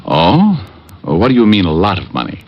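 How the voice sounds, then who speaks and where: An American man playing a glib, flippant but soft-hearted private detective in 1940s radio drama style. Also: low voice, huge pitch swings, moderate pace, husky, heavily acted. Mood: concerned. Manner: glib, bantering. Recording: muffled old-time radio recording.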